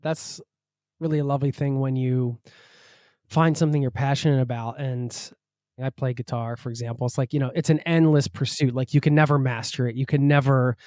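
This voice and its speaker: A man's voice: mid-range voice, steady tone, a little fast, darkish tone, no echo, clean studio sound. A man hosting a podcast interview, chatty and self-deprecating, sharing personal asides between questions. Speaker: podcast host. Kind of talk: conversational interview.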